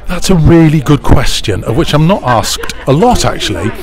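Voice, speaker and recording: A man's voice: fairly high pitch, very animated, normal speed. A middle-aged British man giving interview answers in a chatty, enthusiastic storytelling way. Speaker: pantomime producer, interviewee. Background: music clearly audible.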